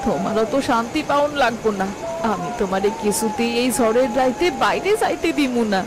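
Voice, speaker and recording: A woman's voice: on the high side, animated, brisk, noisy background, natural voice. Woman voicing a scolding village wife. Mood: anxious. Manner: scolding, pleading village speech. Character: protective farmer's wife and mother. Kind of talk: cartoon voice acting. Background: background music.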